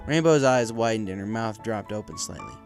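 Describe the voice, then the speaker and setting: A man's voice: fairly low pitch, sing-song, fairly quick, gravelly. A man reading scripted fan fiction as its narrator, with music behind his voice.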